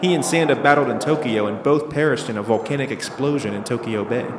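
A man's voice: mid-range voice, fast, natural voice. Man as echoey, level-headed scientist. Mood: assured, analytical. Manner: analytical, assured. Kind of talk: audio drama acting. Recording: echoey, with clear background noise.

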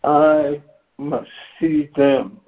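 A hoarse man speaks very slowly and deliberately, his pitch sitting a bit high for a man. He keeps clearing his throat as he shares his spiritual reflections. The phone line sounds dull and lo-fi. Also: flat delivery. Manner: slow and measured, clears his throat often. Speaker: participant in a spiritual reading group call. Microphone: phone on a conference call. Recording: dull lo-fi conference call line.

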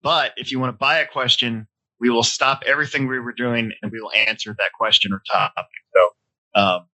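A man's voice: medium pitch, steady tone, moderate pace, bright, forceful tone, poor audio. A man in his forties hosting a casual hobby podcast from home, a bit stuffy-nosed, talking in a loose, rambling way. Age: 40s. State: stuffy nose. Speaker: podcast host. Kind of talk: casual chat.

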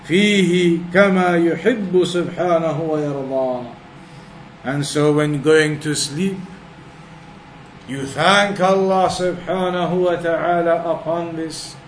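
Male religious instructor, high register, reciting and explaining in measured phrases; reverberant room recording with some background noise. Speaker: religious teacher giving a class. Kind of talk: religious lesson, reciting Arabic then translating and explaining.

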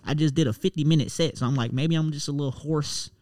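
A man's voice: on the high side, steady tone, fast talker, darkish tone. A young man, a rapper, chatting casually and telling a long story in a loose, profane way. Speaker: rapper guest. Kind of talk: casual chat.

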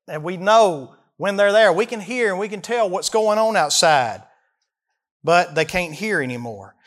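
A man in his early forties delivers a sermon in a notably high voice with a Southern American accent. He is folksy and emphatic, setting out scripture and then explaining it with questions to his listeners. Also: expressive, a little slow, fairly bright tone. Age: early 40s. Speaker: preacher. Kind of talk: expository sermon, reading verses and explaining them.

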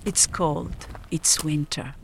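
A woman's voice: low voice, steady tone, slow pace, gravelly, slight background noise, rough recording. An Italian woman with an Italian accent, narrating in a reflective, poetic, scripted style. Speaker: narrator of an audio drama. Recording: music behind the voice. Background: music.

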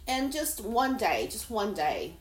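Slow-talking woman in an echoey room. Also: a little high, very animated. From Taiwan. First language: Mandarin. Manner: rambling, chatty, halting second-language phrasing. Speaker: podcast host. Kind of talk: casual solo podcast monologue. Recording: roomy echo.

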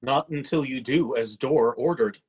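Husky talking fridge played by a man. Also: medium pitch, moderate pace, darkish tone, natural voice. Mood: strict. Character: obliging but strict talking smart refrigerator. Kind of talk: play reading.

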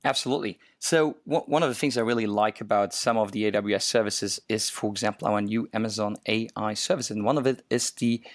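A man's voice: on the low side, brisk, few pauses, dry recording. Upbeat man from Luxembourg, dry mic. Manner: enthusiastic, many fillers and restarts. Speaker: co-host, developer advocate. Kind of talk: casual tech podcast chat.

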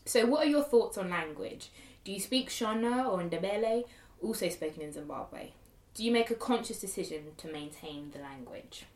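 A woman's voice: medium pitch, animated, moderate pace, hardly any pauses, a little mellow. Distant, echoey woman asking questions. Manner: inquisitive. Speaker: podcast host.

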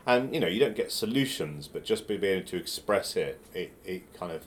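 A deep-voiced man hosts a podcast in a relaxed, friendly back-and-forth. His pitch moves a lot for such a low voice, and he keeps nudging his guest along with easy agreement. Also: brisk. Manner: relaxed, affirming interviewer, many yeah-yeahs. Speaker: podcast host. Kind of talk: casual podcast interview.

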